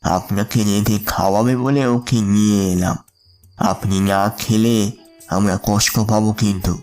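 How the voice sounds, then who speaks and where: A man's voice: fairly low pitch, steady tone, measured pace, a little mellow, faint music behind, natural voice. A male actor playing an anxious, deferential, hospitable father in an audio drama, speaking earnestly and respectfully. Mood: hospitable, coaxing.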